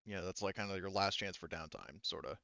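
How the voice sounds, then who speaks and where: Guy game mastering, rambles and swears, smooth clear voice, dry close sound. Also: low voice, fast, bright, forceful tone, no echo, highs cut off. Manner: rambling, profane. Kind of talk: casual tabletop roleplaying session.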